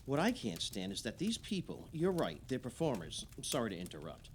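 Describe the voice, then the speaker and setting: Actor as earnest male friend, animated intonation. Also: mid-range voice, brisk, a little hiss or hum. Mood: indignant. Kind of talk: audio play acting, naturalistic conversation.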